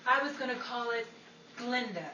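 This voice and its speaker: A woman playing an excitable, aggrieved 1950s Hollywood actress, theatrical and emphatic, in the style of an old-time radio comedy. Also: a little high, moderate pace, echoey room, distant mic, background noise, lightly acted. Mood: indignant, dramatic.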